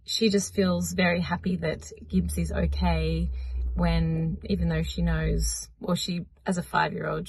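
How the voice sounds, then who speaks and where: Gravelly, husky woman recapping a book. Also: lowish voice, dry recording. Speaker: book vlogger.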